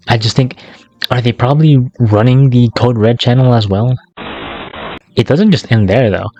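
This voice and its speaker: A man's voice: medium pitch, warm tone, lo-fi sound. A man narrating a casual scripted YouTube commentary in a sarcastic, slangy, profane way. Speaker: YouTube video essayist.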